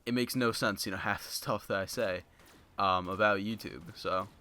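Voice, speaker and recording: A young man talking casually to his viewers in a flat, monotone voice, rambling with lots of fillers into a basic home microphone. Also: lowish voice, normal speed. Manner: rambling, filler-heavy, many "you know"s. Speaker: gaming YouTuber. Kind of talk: casual unscripted commentary to viewers. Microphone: basic low-end home mic.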